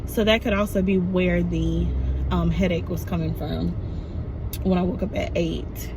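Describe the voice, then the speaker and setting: A woman in her thirties vlogging casually outdoors by her car, a little raspy after coughing, rambling with many fillers. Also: fairly low pitch, fairly even intonation, a little slow. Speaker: nursing student vlogger. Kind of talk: casual vlog chat. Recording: loud outdoor traffic noise.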